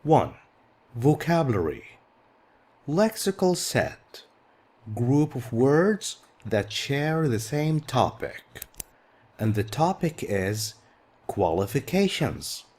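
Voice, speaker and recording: Slow, lively-voiced male English teacher. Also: mid-range voice, slightly rough. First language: Arabic. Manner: slow, patient, repeats key words. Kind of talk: explaining a textbook lesson.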